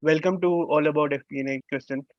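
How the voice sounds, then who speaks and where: A man hosting an interview who speaks haltingly, repeats words, and uses many "uh" fillers. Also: a little high, steady tone, no room sound. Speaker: interview host. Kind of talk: conversational interview. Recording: dull, lo-fi sound.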